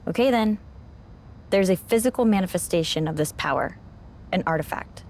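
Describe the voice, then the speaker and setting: Grave veteran wrestling championess, lowish voice. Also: female voice, lively intonation, moderate pace, a little mellow, slight background noise. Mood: solemn. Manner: grave, cautioning. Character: seasoned female wrestling champion, grave keeper of dangerous secrets. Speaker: voice actor in a wrestling video game. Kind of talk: cinematic game dialogue.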